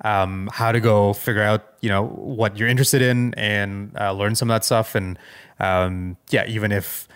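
A man co-hosting a podcast in casual chat, thinking aloud in a tentative, halting way. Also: on the low side, steady tone, fairly bright tone, clear, resonant tone. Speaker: podcast co-host.

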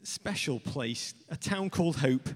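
High-voiced, theatrical British man on stage. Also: expressive, unhurried. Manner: jokey, theatrical. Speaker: co-host interviewing a guest on stage. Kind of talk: comic live interview banter. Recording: echoey theater hall with live audience.

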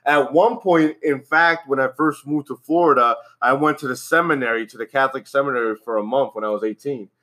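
A man in his thirties, born in the Dominican Republic, talks in a loose, rambling way, full of fillers, restarts and quick laughs. His voice sits at an ordinary middle pitch for a man. He is a guest in casual podcast chat. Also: pushed and bright.

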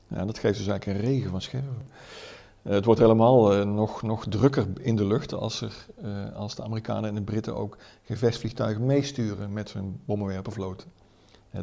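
A man speaks as a history expert in a low, creaky voice with a mellow tone. He explains in a conversational way, repeating key words for emphasis and adding informal asides. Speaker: military historian, expert interviewee.

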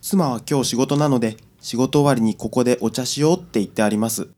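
A man's voice: mid-range voice, fairly quick, darkish tone, close to an everyday voice. A man playing an anxious, timid newlywed client in an amateur radio drama, speaking politely and hesitantly. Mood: nervous.

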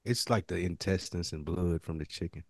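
Man, very low pitch, dry sound, casual podcast chat, slangy, explanatory. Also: moderate pace, no echo. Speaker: podcast co-host reviewing a TV series.

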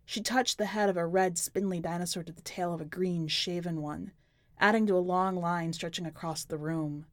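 A woman's voice: fairly low pitch, steady tone, moderate pace, dry recording. Woman narrating a dramatic story, dry recording. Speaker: podcast editor reading a short story.

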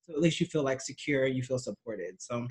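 A man in a casual group discussion, speaking in a measured, hesitant way with fillers. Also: mid-range voice, lively intonation. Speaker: discussion participant.

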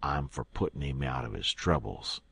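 A man acts out a brutal river gangster for an audiobook. His voice is deep, raspy and close, with dry sound. He drawls in folksy rustic dialect, gruff and sullen. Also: a little slow, no echo. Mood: grimly menacing. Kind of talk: audiobook character acting.

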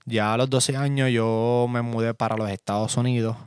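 A young man from Puerto Rico with a Puerto Rican accent, hosting a casual solo podcast from his home studio in a relaxed, chatty way with lots of fillers. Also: medium pitch, moderate pace, smooth, dry recording. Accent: Puerto Rican Spanish. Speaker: podcast host and music producer.

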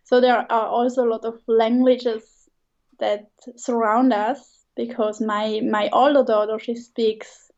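A woman's voice: on the high side, level tone, measured pace, darkish tone, muffled recording. A woman in her thirties from Switzerland, a guest chatting casually in an interview, hesitant and often restarting with light laughs.

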